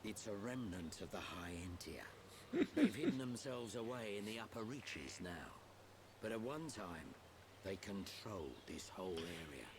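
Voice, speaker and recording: An actor voicing a gruff, easygoing middle-aged fighter and mentor in a video game cutscene. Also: male voice, lowish voice, big pitch swings, a little slow. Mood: matter-of-fact. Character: gruff older male mentor, seasoned fighter, easygoing guardian. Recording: game music behind the voice.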